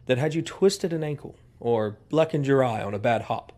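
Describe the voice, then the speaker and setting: An actor playing a proud, fussy old family servant who scolds in formal, wordy speech in a western audio drama. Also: male voice, medium pitch, normal speed, a little mellow, lightly acted. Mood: stern, probing. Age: older.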